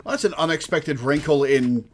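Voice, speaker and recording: A man's voice: fairly high pitch, moderate pace. A man streaming casual, wry commentary, making reflective asides as he watches.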